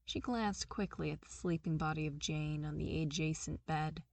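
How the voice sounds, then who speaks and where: A woman reading fan fiction aloud as narrator, with expressive, lively storytelling. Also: sits low, normal speed, no room sound. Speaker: podfic reader.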